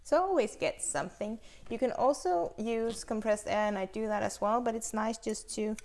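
A woman's voice: on the high side, moderate pace, creaky, darkish tone. A young woman casually demonstrating chainsaw bar maintenance in a small indoor workshop, explaining practically as she handles the tools. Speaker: chainsaw instructor.

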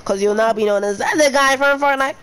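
Playful woman streamer, slightly high voice, faint background hiss, chatty. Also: a little fast, fairly bright tone. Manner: playful, chatty, sing-song asides. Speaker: gameplay streamer. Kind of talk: casual chat while playing.

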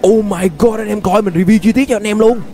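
A young man with a southern Vietnamese accent giving excited, exclamatory gameplay commentary, often repeating phrases as he reacts. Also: high voice, a little fast. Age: young adult. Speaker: gaming YouTuber, host. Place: indoors at a gaming setup. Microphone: desk microphone close to the face.

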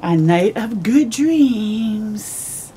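Slow, mellow middle-aged woman reading aloud. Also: medium pitch, a little hiss or hum. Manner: expressive, slow storytelling with gasps and drawn-out words. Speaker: children's storytime host. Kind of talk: read-aloud of a picture book with asides. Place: outdoors, porch or yard in early morning.

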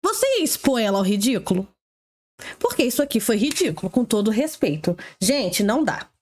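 A young woman with a high, light voice talks over videos from her home streaming setup. Her remarks are blunt and sarcastic, and she throws in quick asides between clips. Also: sing-song, a little mellow, slightly rough. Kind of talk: casual sarcastic react commentary. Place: home streaming room, seated in gaming chair.

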